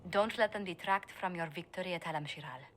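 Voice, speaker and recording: An actress playing a composed, shrewd female advisor who speaks in a measured, formal way in a game. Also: fairly low pitch, a little hiss or hum, natural voice. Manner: measured, formal, quietly persuasive. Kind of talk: video game voice acting.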